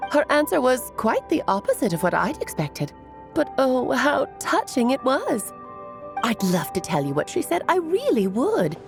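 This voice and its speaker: A woman's voice: sits high, wildly swooping pitch, normal speed, gravelly, over music, heavily acted. A woman voicing a playful, theatrical adult mage in a video game, with a genteel, teasing manner. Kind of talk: video game character voice acting.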